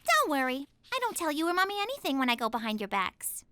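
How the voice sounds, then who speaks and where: A spoiled cartoon teenage daughter with a very high, bright voice. She whines, sulks and flips between tantrums and sweet-talk. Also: sing-song, quick pace, full character voice. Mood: whiny. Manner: whiny, dramatic, bratty.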